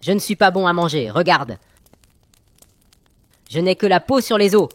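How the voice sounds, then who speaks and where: A man's voice: sits high, expressive, normal speed, dry recording. A man playing a cheeky, cunning young boy hero, self-assured and wheedling, in a children's cartoon fairy tale. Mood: pleading.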